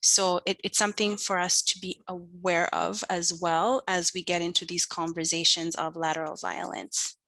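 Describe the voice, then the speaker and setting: Bright, lower-pitched woman from Kenya. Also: little pitch movement, moderate pace, vocal fry, few pauses, no echo, rough recording. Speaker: panelist. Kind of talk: earnest panel discussion. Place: online video call.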